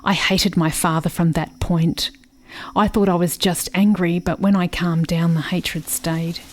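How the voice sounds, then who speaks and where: Actress as a solemn, low-pitched grieving woman. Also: level tone, measured pace, lightly acted. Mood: bitter, grieving. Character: haunted, grieving woman recalling a bitter rural childhood. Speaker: actor in a radio play. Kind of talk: reflective dramatic monologue.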